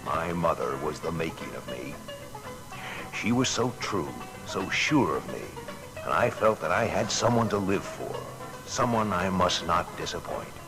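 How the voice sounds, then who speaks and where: A man's voice: low voice, expressive, a little slow, music in the background, rough recording. An actor playing a grown inventor who earnestly and reflectively recalls his boyhood in a dramatised biography. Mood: fond, grateful. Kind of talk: dramatised first-person reminiscence.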